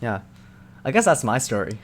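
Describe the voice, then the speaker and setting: Young male streamer, mid pitch, casual and rambling, off-the-cuff chat. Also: a little hiss or hum. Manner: relaxed and rambling, with fillers and quick laughs. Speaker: streamer answering chat questions.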